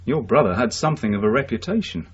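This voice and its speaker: An actor playing a measured, questioning male police detective sergeant in a 1980s radio drama. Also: mid-range voice, expressive, slight background noise, natural voice. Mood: inquiring, dry. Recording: dull, muffled radio broadcast recording.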